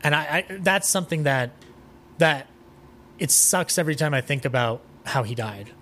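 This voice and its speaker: A man's voice: on the high side, moderate pace, bright, forceful tone. A man chatting casually as a podcast guest, rambling with enthusiasm and swearing now and then, with some noise behind him. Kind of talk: casual interview chat.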